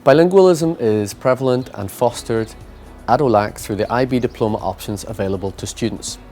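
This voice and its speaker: A man's voice: fairly low pitch, darkish tone. A man giving formal, scripted narration for a school promotional video. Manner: formal, measured reading. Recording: music bed underneath.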